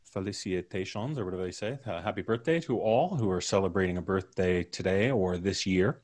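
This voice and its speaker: A man's voice: low-pitched, a little fast, darkish tone. A man hosting a podcast, chatting casually with colleagues and listeners on a livestream. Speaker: podcast host. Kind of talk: casual after-show livestream chat.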